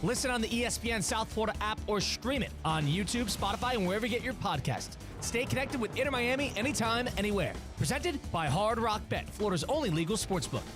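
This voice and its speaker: A man's voice: high-pitched, expressive, quick pace, few pauses, over music. A man reading a scripted sports radio station promo as an announcer.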